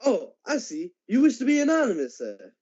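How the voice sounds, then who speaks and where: A man playing a polite, earnest gentleman collecting for charity in a radio drama, speaking formally and a little haltingly. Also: extremely high pitch, huge pitch swings, slightly rough, muffled recording, exaggerated voice.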